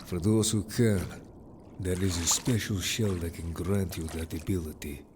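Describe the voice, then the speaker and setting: A man's voice: deep voice, fairly even intonation, moderate pace, noisy background, lightly acted. A man playing a protective, earnest merman in an audio story, speaking bluntly and a little formally to the listener. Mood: matter-of-fact. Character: gruff, protective male merfolk, loyal patrolling adventurer. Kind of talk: audio drama roleplay addressed to listener.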